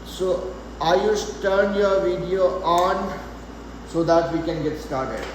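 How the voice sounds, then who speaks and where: A man's voice: high voice, takes it slowly. A man in his forties teaching a live math class, patiently repeating points and asking students questions. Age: 40s. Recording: echoey room, faint background noise.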